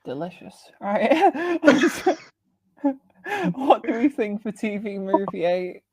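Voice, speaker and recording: Woman host, slow and meandering, lots of filler words, dry close sound. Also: mid-range voice, lively intonation, a little mellow, breathy edge, no room sound. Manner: rambling, heavy on fillers. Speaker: podcast host. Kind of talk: casual podcast chat.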